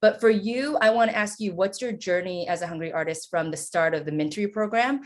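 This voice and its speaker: A woman's voice: mid-range voice, fairly quick. A woman hosting an interview in a casual, informal and encouraging conversational style. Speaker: interview host.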